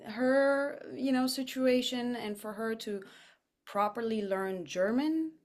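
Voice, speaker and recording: A woman's voice: a little high, takes it slowly, darkish tone. A woman raised in Switzerland, Farsi her first language, chatting animatedly as an interview guest with self-deprecating asides. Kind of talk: casual interview chat.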